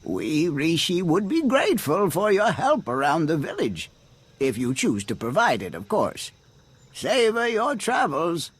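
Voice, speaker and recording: A man voicing a courteous bird-like alien villager in a video game, speaking in formal, deliberate phrases. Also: on the high side, huge pitch swings, slightly rough, heavily acted. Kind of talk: video game character acting.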